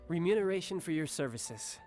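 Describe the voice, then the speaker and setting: A man's voice: fairly high pitch, animated, brisk, natural voice. A man playing a confident, protective young fighter in a video game, composed, wistful and lightly teasing. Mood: relaxed, grateful. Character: smooth, confident young male fighter, protective older brother. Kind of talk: English video game voice acting. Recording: background music under voice.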